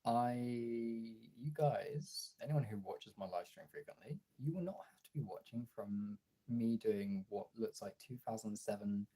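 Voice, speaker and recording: A British man with a raspy, hoarse voice from being ill, chatting casually and swearily on a livestream. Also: fairly low pitch, measured pace, warm tone, rough recording. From Britain. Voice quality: raspy, throaty. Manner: informal, sweary. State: hoarse from illness, throat clearing. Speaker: live streamer and audio voice performer.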